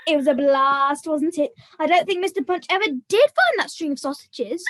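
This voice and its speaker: Very high chatty puppet, played by a woman. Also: expressive, normal speed, noisy background. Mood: chatty, teasing. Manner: chatty, bright greeting over grumbling. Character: chatty puppet, falsely cheery with a scrounging friend. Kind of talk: online puppet show acting.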